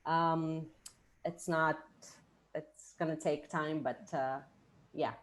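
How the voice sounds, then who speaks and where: A woman whose first language is Greek, an author chatting on a video call, halting with many fillers and restarts. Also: deep voice, steady tone. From Greece. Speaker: book co-author. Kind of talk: casual online video call chat.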